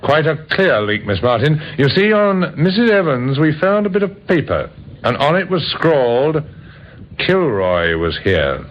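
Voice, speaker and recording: An actor playing a dryly sardonic police inspector in an old radio mystery, questioning deliberately with hesitations and pointed insinuation. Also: male voice, a little high, sing-song. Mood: sardonic, pressing. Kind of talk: old-time radio drama acting. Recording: noisy, dull vintage radio recording.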